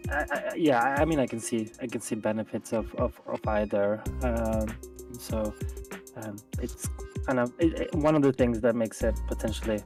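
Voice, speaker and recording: A man's voice: medium pitch, moderate pace, a little mellow. A man chatting as a guest on a live gaming stream, thoughtful and hesitant, with many restarts. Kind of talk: casual live-stream chat. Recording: distant mic with faint music.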